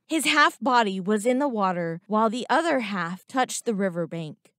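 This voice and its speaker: A woman's voice: mid-range voice, expressive, moderate pace, fairly bright tone, no echo, studio quality. Woman narrating a children's story.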